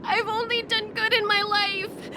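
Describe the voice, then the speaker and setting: A woman's voice: very high voice, little pitch movement, unhurried, heavy background noise. A woman voicing a small, panicky male game creature who wails and pleads in terror. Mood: tearful dread. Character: terrified small Pepeshi creature, convinced he is dead. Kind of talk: video game voice acting.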